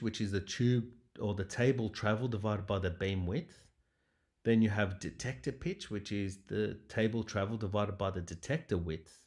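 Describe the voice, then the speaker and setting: A man's voice: on the low side, darkish tone. A man lecturing over slides as a radiology lecturer, explaining things methodically. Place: voice-over recorded over presentation slides.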